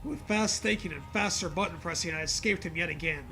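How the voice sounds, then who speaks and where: A man's voice: fairly high pitch, fairly quick, creaky, soft background music. A man hosting a dramatic reading of a story, mixed with crude, joking commentary. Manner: profane, jokey, animated reactions.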